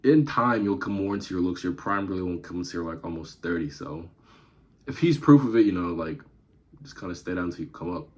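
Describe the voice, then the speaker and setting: A young man with a very deep, low-pitched voice talks through a reaction to a comedy clip. His delivery is relaxed and chatty, like an easy commentary to viewers. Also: brisk. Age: young adult. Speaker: reaction video host. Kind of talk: casual commentary.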